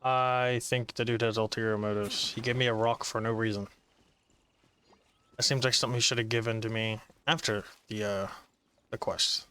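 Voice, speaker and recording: Flat, bright-voiced male gamer. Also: fairly low pitch, moderate pace, clean tone. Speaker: gaming video host. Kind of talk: casual gameplay commentary. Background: faint game music.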